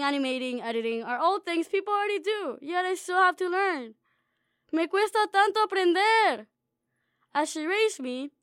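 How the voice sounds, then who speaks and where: A young woman with a very high, light voice reads her own personal essay aloud. Her delivery is wry and dreamy, and she pokes fun at herself. The recording is dry and close, with no room sound. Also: a bit bright, no room sound. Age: young adult. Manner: wry, dreamy, playfully self-mocking. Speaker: student author reading her own essay.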